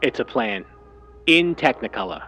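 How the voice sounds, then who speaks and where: An actor playing a laconic, loyal 1950s sidekick in a vintage noir audio drama, speaking in a wry, terse way. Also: male voice, medium pitch, animated, normal speed, sharp tone, soft background music.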